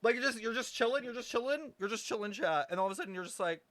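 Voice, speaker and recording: A man's voice: extremely high pitch, expressive, racing, fairly bright tone. A man streaming a game with casual live commentary, blunt, sweary and dramatic in a sassy way. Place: close, dry microphone on a live stream.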